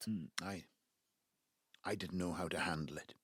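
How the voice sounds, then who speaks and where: An actor playing an embittered, wistful Welsh father in his seventies, with a South Wales Valleys accent and a halting, reflective delivery in an audio drama. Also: fairly even intonation, a bit bright, breathy edge, no echo, clean studio sound. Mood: regretful. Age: 70s. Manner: halting, reflective, breaking into low muttering. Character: embittered ageing Welsh patriarch, wistful and self-justifying. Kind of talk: naturalistic audio drama monologue.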